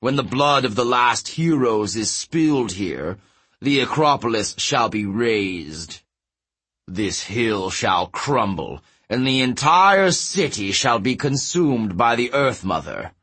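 A man's voice: medium pitch, very animated, slow pace, fairly bright tone, husky, full character voice. A man voicing a pompous, commanding giant king in a growling fantasy audiobook voice. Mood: stern, grand. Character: imperious giant king, booming, scornful, commanding.

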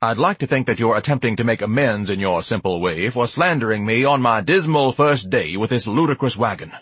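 A man's voice: medium pitch, lively intonation, fairly quick, fairly bright tone, breathy edge, dry recording. A man voicing a pompous, indignant, gluttonous educated man with grandiose, haughty diction in an audiobook. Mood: smug. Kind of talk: audiobook character acting.

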